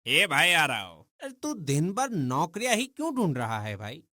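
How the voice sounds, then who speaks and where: An actor playing a brash, upbeat young friend in a cartoon story, colloquial and teasing. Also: male voice, a little high, wildly swooping pitch, a little slow, sharp tone, dry recording, clean studio sound, exaggerated voice. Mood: eager, chiding. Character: brash, upbeat young buddy giving advice.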